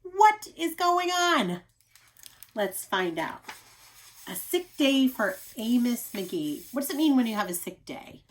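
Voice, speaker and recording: Expressive higher-voiced woman reading a picture book. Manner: expressive, questioning, deliberate emphasis. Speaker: teacher reading aloud to young children. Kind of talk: picture-book read-aloud with asides to children.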